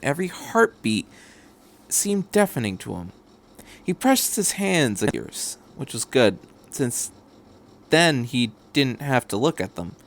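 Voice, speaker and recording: A man narrating a story aloud in a dramatic reading style, as a podcast host. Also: mid-range voice.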